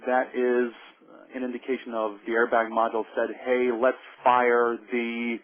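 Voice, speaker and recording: A man's voice: mid-range voice, monotone, a little slow. Jersey-accented middle-aged male presenter, loose and stop-start, narrow phone-line sound, mid voice. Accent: New Jersey. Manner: easygoing, repeats words and restarts, many ums. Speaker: webinar presenter, forensic engineer. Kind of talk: technical lecture. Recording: phone-like webinar audio.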